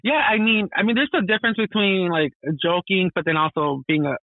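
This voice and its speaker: A man co-hosting a podcast, chatting in a relaxed way with many fillers. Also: high voice, fairly quick, no echo, narrow, tinny audio. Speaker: podcast co-host. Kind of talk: casual podcast chat.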